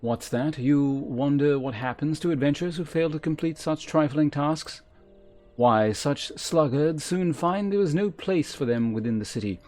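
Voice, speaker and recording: A man's voice: a little high, normal speed, a little mellow, voice pushed up, lightly acted. Man as a haughty, curt quest giver. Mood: haughty, ominous. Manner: formal, condescending, archaic phrasing. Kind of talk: voiced fantasy game dialogue.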